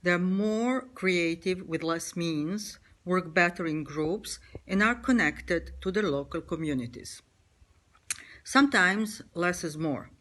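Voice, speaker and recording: A woman, a feminist author, giving a measured prepared statement in a home video recording. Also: fairly low pitch, a bit bright. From Serbia.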